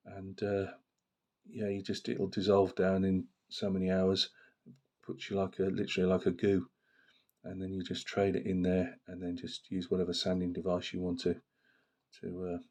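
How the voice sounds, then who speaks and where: A man with a very low voice, hoarse from a cold. He talks about his hobby in a loose, rambling way, full of fillers and restarts. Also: warm tone. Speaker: miniature painting channel host. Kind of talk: casual hobby talk to camera.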